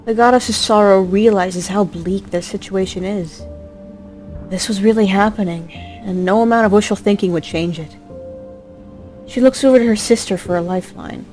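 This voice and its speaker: A woman's voice: medium pitch, moderate pace, a little mellow. A woman delivering scripted story narration in an emotive, theatrical way. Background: music.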